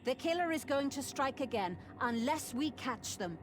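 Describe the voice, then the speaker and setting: A woman's voice: sits high, lively intonation, moderate pace, slight background noise. A woman voicing a resolute, caring abbess in a video game, speaking with grave authority. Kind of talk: scripted video game voice acting.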